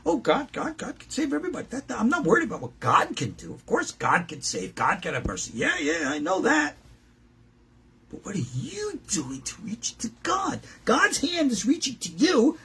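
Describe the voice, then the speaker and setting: Male rabbi, informal teacher-preacher. Gravelly fry, emphatic and direct, slips into imagined dialogue. Slightly noisy recording. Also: very high voice, huge pitch swings, normal speed, fairly bright tone. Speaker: rabbi and teacher at a Torah school. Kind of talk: informal religious lesson, preaching to students.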